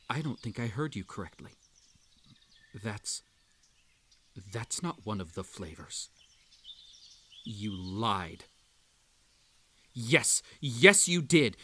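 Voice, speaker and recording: A man playing an obsessive, unhinged magical hare hosting a tea party in a roleplay audio, with a theatrical, halting delivery that swings between sweet coaxing and menace. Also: mid-range voice, pushed and bright, heavily acted. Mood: cold, wounded. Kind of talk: roleplay audio acting, intimate second-person address.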